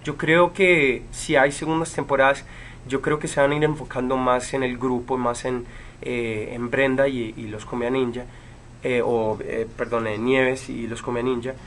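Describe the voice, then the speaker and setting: A man in his twenties, from Chile, casually answering fan questions on a livestream from a room at home, relaxed, with many fillers and pauses. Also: medium pitch, a little hiss or hum. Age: 20s. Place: home room with bookshelves, indoors. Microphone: webcam.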